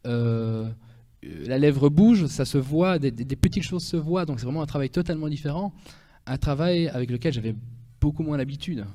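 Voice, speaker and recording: A man from Belgium with a Belgian French accent, a guest talking in a relaxed, chatty way on a radio interview. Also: on the high side, moderate pace, in a large hall. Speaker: guest, pianist and actor.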